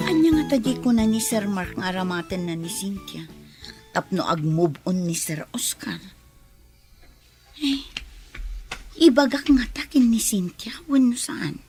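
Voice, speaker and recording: A woman's voice: mid-range voice, lively intonation, a little slow, long pauses, warm tone, soft background music. A woman acting a cautious, worried, loyal employee in an Ilocano radio drama, with an Ilocano Filipino accent. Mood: torn, worried.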